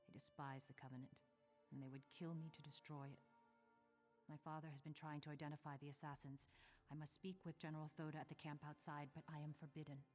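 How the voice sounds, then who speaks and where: A woman's voice: low voice, level tone, fast talker, darkish tone, faint music behind, natural voice. A woman voicing a worried noble duchess. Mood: earnest. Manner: formal, earnest.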